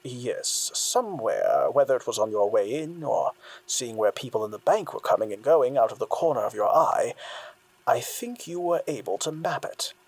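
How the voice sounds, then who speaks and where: Husky, measured male therapist, played by an actor. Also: medium pitch, very animated, dry recording, poor audio. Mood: measured, reassuring. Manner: deliberate, explanatory. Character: measured, analytical male therapist explaining the mind. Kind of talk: audio drama dialogue acting.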